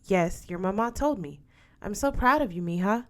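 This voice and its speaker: A woman's voice: mid-range voice, animated, moderate pace, natural voice. An affectionate older godmother from a storybook, acted out by a woman reader. She is proud and a little fussy, and she explains things firmly and with emphasis, like someone used to giving advice. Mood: proud, confiding.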